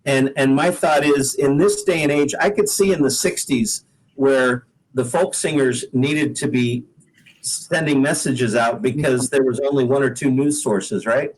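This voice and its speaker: A man's voice: mid-range voice, a little slow, low-quality recording. An American man from the Pacific Northwest chatting casually as a guest singer-songwriter, explaining himself in an earnest, easygoing way. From Pacific Northwest, United States. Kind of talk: casual interview chat.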